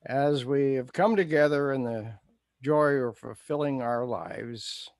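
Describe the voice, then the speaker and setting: A man speaking an improvised prayer as a spiritual leader, in solemn, measured phrases. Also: medium pitch, dry recording, studio quality. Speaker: spiritual leader giving a blessing.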